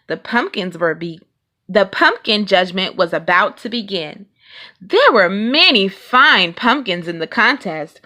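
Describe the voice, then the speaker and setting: Bright-voiced woman reading a children's story. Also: mid-range voice. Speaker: storybook reader. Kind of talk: expressive children's read-aloud narration.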